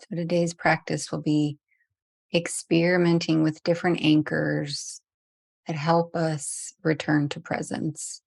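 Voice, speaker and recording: A woman leading a guided meditation as a teacher, speaking gently and unhurriedly with long pauses. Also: deep voice, flat delivery, creaky, no echo.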